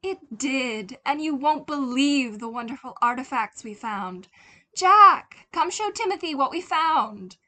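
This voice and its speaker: A woman's voice: high-pitched, expressive, a little slow, sharp tone, pitched above the performer's own voice, heavily acted. Woman voicing a breezy, haughty society mother. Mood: bright, breezy. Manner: light, teasing, prim. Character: elegant, haughty mother, lightly scolding her young son.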